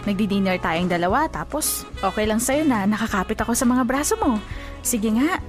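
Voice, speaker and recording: Actress as a flirty Filipina gym trainer, music behind. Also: female voice, a little high, lively intonation, moderate pace, lightly acted. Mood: flirty, coaxing. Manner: coquettish, teasing. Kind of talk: Filipino radio drama acting.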